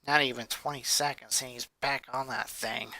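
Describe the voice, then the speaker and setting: A man voicing a blunt young tomboy girl who is gruff but caring, in a fan fiction reading. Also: mid-range voice, steady tone, normal speed, pushed and bright, no room sound, voice pushed down. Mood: irritated.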